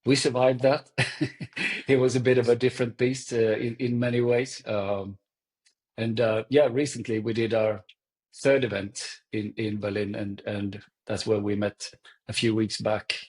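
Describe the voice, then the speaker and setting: Halting Swedish-accented man. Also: on the low side, flat delivery, a little mellow. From Sweden. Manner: halting, thoughtful storytelling. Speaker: guest, event company CEO. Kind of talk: podcast interview.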